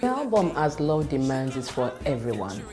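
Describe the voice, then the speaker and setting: A woman whose first language is Yoruba, a gospel singer telling a personal testimony in an earnest, reflective way, pausing and restarting as she talks. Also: very deep voice, sing-song, over music.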